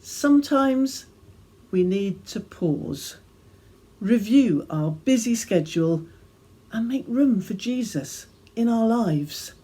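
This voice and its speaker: A woman reading a devotional reflection as gentle, measured storytelling with deliberate pauses. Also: on the high side, animated, breathy edge. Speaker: reader of her own devotional reflection. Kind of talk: scripted devotional reflection, storytelling read aloud.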